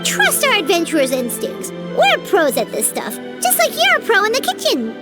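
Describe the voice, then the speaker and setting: Bouncy, very high-pitched little sidekick creature, boastful and chirpy. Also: female voice, wildly swooping pitch, moderate pace, over loud music, exaggerated voice. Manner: chirpy, talks of herself in the third person. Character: tiny floating girlish companion, bubbly and boastful.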